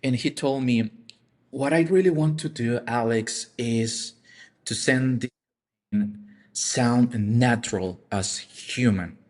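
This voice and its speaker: A man co-hosting a casual podcast, speaking haltingly with repeated words and non-native phrasing. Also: mid-range voice. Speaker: podcast co-host.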